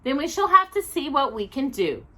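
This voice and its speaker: Woman voicing a chatty, bright young girl. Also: high voice, big pitch swings, moderate pace, voice pushed up, full character voice. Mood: helpful. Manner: chatty, eager, reassuring.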